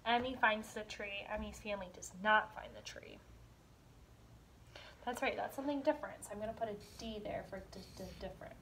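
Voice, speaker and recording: A woman's voice: mid-range voice, animated, a little fast, gravelly, fairly bright tone, a little hiss or hum, low-quality recording. A young woman teaching kindergarten from home, explaining simply and asking questions as if the children answer. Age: young adult. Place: small room at home. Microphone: device camera a step or two away.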